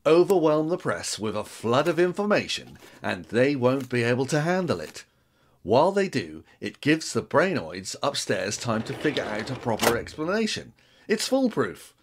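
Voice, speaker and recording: Guy doing a bossy pr man, brisk orders, lively voice. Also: medium pitch, a little hiss or hum. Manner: brisk, commanding.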